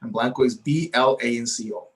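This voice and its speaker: A man whose first language is Spanish, speaking as a guest realtor at a middle pitch. He talks eagerly and at length, checking in with a frequent "right?". The tone is easy and conversational. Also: fairly even intonation, brisk, a bit bright. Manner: eager and talkative, often adds "right?". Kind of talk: casual interview talk.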